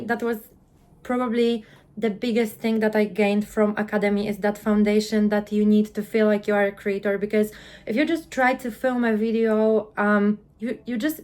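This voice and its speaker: Earnest woman with a Polish accent. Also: a little high. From Poland. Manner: earnest, quick, repeats words when starting sentences. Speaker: guest, content creator. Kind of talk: interview storytelling.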